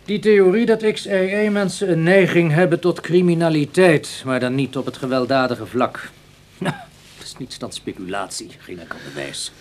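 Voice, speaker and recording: An actor playing a dismissive, pedantic psychologist of about forty, condescending and given to hesitant openings, in a Dutch radio play. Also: male voice, on the high side, normal speed, natural voice. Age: 40s.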